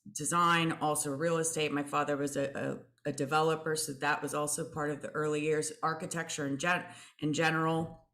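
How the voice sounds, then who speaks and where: Woman from Belgium, middle-aged, low voice, thoughtful, fillers and restarts. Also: fairly bright tone. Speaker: artist guest. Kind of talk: conversational interview answers.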